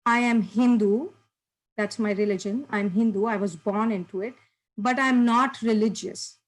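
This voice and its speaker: A woman's voice: medium pitch, fairly even intonation, moderate pace, poor audio. A woman from India leading an online workshop, talking to participants in an encouraging way and laughing often over a video call. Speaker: workshop presenter.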